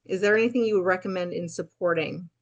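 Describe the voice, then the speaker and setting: A woman's voice: lowish voice, fast talker, muffled recording. A woman moderating a town hall, phrasing her questions in a searching, hesitant way. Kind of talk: interview questions.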